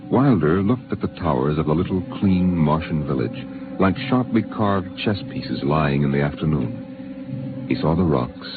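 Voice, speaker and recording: Grave male storyteller, extremely low voice, vintage radio narration, measured, muffled recording. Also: animated, over music. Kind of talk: 1950s radio drama narration.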